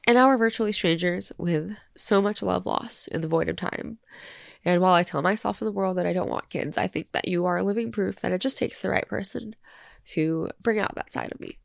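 A young woman hosts a podcast and reads a personal letter aloud, her voice congested from crying. She talks low and halting, with plenty of gravelly fry. The sound is close and dry. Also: no room sound. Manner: tearful, halting, many fillers. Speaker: podcast host.

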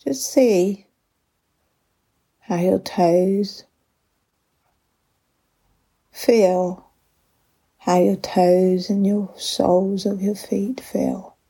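A woman leading a guided body scan meditation with gentle, unhurried instructions and long pauses, in a soft, breathy voice. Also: medium pitch, steady tone.

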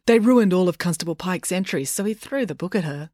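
Woman voicing a breezy, excitable, knowing female villager. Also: lowish voice, a little fast, dry recording, clean studio sound. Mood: explaining. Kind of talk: children's audiobook character voice.

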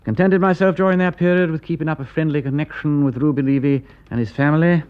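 An actor playing a breezy, wry, upper-class English gentleman detective with a drawling, flippant manner in a classic radio drama. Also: fairly high pitch, steady tone, fast talker, smooth, phone-quality sound, close to an everyday voice. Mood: musing, intrigued. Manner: drawling, flippant, old-fashioned gentlemanly slang.

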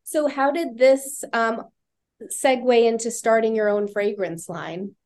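A woman's voice: fairly high pitch, fairly even intonation, a little slow, darkish tone. A woman hosting a podcast, asking casual questions full of "like" and "you know". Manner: hesitant, filler-heavy questions. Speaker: podcast host.

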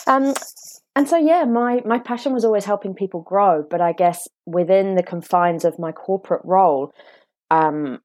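A woman from the United Kingdom with a British accent, a guest explaining her ideas in a measured, reflective way. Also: medium pitch, a little mellow, no echo. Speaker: guest, author and coach. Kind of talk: podcast interview.

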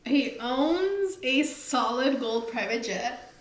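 A young woman co-hosting a casual chat podcast, teasing, swearing casually and laughing loudly. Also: high-pitched, lively intonation, takes it slowly, noisy background. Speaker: podcast co-host. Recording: echoey room.

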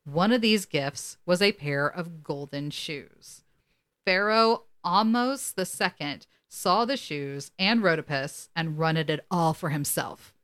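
A woman's voice: deep voice, animated, slow pace, fairly bright tone. A woman co-hosting a casual podcast, reading articles aloud and dropping in wry asides. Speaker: podcast co-host.

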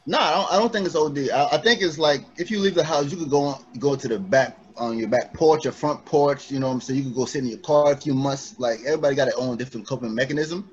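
Guyanese guy chatting with friends, husky, kinda muffled lo-fi sound. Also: medium pitch, fast talker, hardly any pauses. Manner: animated, acts out quotes with laughter. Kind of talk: casual group chat. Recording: slightly dull lo-fi audio.

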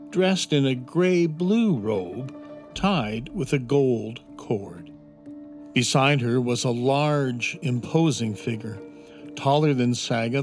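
A man narrating a children's fantasy audio drama in a measured, descriptive storytelling manner. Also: mid-range voice, darkish tone.

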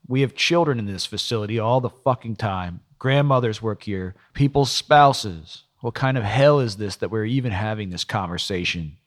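A man's voice: fairly low pitch, moderate pace, close to an everyday voice. A man voicing an angry, exasperated male police detective in an audiobook, scolding with profane rhetorical questions.

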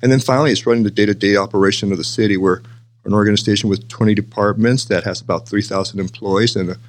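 Fast-talking older man with a low voice. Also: fairly even intonation. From El Paso, Texas, United States. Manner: explanatory, often adds "right?" and "you know". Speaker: interview guest, city manager. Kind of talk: conversational interview answers.

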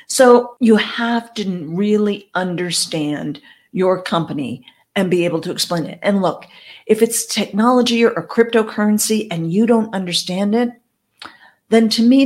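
A woman with English as her first language, giving direct, conversational advice as a network marketing coach. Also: mid-range voice. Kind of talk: advice talk to camera.

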